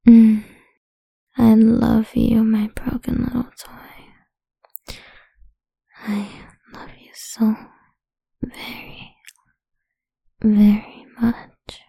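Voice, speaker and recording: A woman playing a domineering, condescending lover in intimate adult audio roleplay, speaking in a breathy, whispery voice with a commanding manner. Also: fairly high pitch, little pitch movement, dark tone, dry recording, exaggerated voice. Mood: possessive, condescending. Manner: slow, commanding, alternately cooing and scornful.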